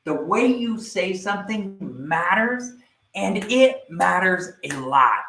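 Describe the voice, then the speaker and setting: A man giving a live online sales training, energetic and speaking directly to viewers, often checking in with "right?". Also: sits high, takes it slowly, gravelly, reverberant, faint music behind.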